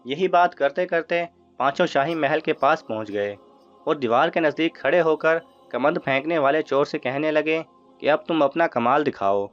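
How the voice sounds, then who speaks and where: A man narrating a scripted moral story for children, as a storyteller. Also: on the high side. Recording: muffled audio with music underneath. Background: music.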